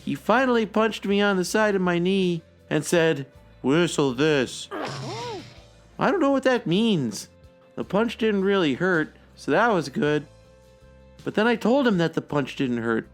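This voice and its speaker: Man, fairly high voice, gloomy deliberate diary reading, background music. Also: sing-song. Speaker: reader in a dramatic reading of a fictional blog. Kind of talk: dramatic reading of a gloomy journal. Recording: music behind the voice.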